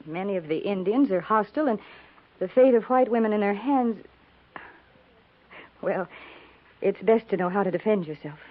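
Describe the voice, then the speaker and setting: An American actress playing a practical, seasoned frontier army wife, neighborly but grave, speaking in a measured, matter-of-fact way in a 1950s radio drama. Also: female voice, medium pitch, animated, close to an everyday voice. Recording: tinny, narrow-band 1950s radio recording.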